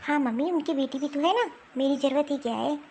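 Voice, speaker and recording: A very high-pitched young wife played by a woman in a homemade cartoon melodrama. She is hurt and unbending, stressing her grievances in drawn-out phrases. Some noise sits behind the voice. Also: darkish tone. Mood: stubborn, hurt. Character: hurt, resolute young married woman refusing her in-laws. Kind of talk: amateur Hindi cartoon family melodrama.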